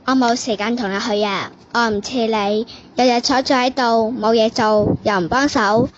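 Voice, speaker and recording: A woman's voice: a little high, steady tone, normal speed, dull audio, slight background noise, close to an everyday voice. A woman with a Cantonese accent voicing an overworked, nagging mother. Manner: nagging, complaining. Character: busy, nagging middle-aged mother.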